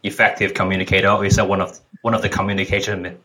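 A man's voice: low-pitched, little pitch movement, quick pace, pushed and bright, slight background noise. A man hosting a podcast, who reads a scripted welcome and then chats casually with his guest, laughing often. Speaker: podcast host.

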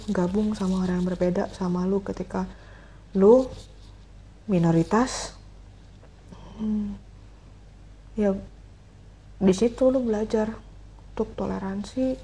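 Husky, mellow-voiced woman podcaster. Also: medium pitch, poor audio. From Indonesia. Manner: reflective, many fillers and pauses. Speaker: podcast host. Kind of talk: casual solo podcast talk.

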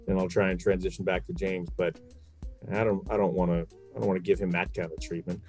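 A man's voice: low-pitched, little pitch movement, fast, a little mellow, faint music behind. A man who works as a sports broadcaster, chatting casually in a blunt, colloquial way. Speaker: sports broadcaster, podcast guest. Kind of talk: casual chat.

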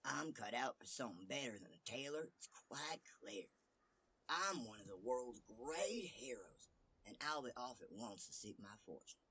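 A man's voice: mid-range voice, expressive, measured pace, pushed and bright, husky, full character voice. A man voicing a small, boastful tailor hero in a storybook tale, cheeky and exclamatory. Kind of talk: storybook character voice.

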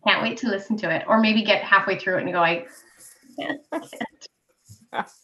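A woman's voice: mid-range voice, animated, normal speed, pushed and bright, poor audio. A chatty woman with a young-sounding voice, a podcast guest in casual conversation over a remote call. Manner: chatty, laughs easily. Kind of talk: casual chat.

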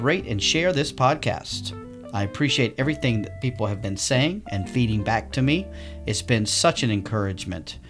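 A man hosting a podcast, reading his intro and interviewing a guest in an upbeat, enthusiastic way. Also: on the low side. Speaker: podcast host.

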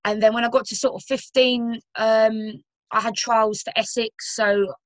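A woman's voice: a little high, little pitch movement, measured pace, no echo. Chatty young London woman, dry sound. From Romford, London. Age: 20s. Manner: chatty, with many fillers and restarts. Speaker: guest footballer and personal trainer. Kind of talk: casual podcast interview.

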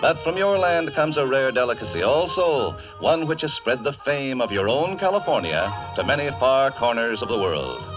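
A man voicing a proud, cultured Ecuadorian gentleman host, courtly and flowery, in a 1940s radio advertisement. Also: medium pitch, very animated, normal speed, few pauses, music in the background, close to an everyday voice. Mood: gracious, proud. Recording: muffled vintage radio broadcast.

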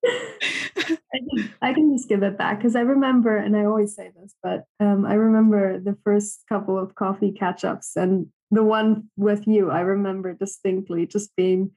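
A young woman from Germany, a podcast guest talking in a soft, hesitant way with many ums. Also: medium pitch, normal speed, low-quality recording. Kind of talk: casual interview chat.

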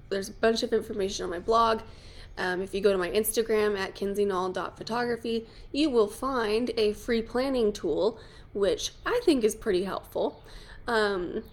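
A woman, chatting casually and playfully as a podcast host. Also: medium pitch. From New Mexico. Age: 20s. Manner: chatty, self-deprecating, playful asides. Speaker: podcast host, wedding photographer. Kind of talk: casual chat.

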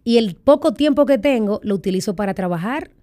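A middle-aged woman with a Dominican accent, telling her own story in a candid interview, lively and full of quoted voices. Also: medium pitch, a little fast. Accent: Dominican Spanish. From Dominican Republic. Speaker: guest.

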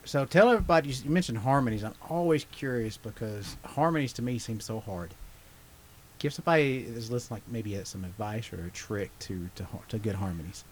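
Host, loud and talkative, asks curious questions, a little noise in the background. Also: male voice, on the low side, a little fast. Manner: loud, laughing, curious, rambling. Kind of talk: casual interview chat.

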